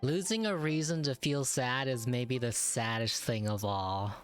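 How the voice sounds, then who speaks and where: A man's voice: medium pitch, unhurried. Guy doing laid back slangy game reactions, amused, faint music behind him. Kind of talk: casual gameplay reaction commentary.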